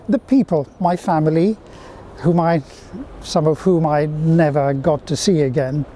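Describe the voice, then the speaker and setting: A middle-aged man from Fiji, answering interview questions in a reflective, measured way. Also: on the high side, warm tone, slight background noise.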